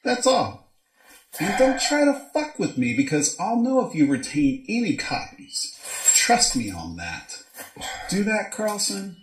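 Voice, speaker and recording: An actor playing a gloating, foul-mouthed scientist in an audio drama, theatrical, mocking and wordy. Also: male voice, high-pitched, reverberant, poor audio. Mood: demanding. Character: gloating, foul-mouthed mad scientist doctor, gadget-proud blackmailer. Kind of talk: modern audio drama acting.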